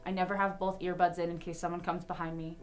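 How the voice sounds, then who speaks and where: Lower-pitched young woman reading aloud. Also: level tone, fast, faint music behind. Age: young adult. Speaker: student reader. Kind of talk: reading a personal account aloud.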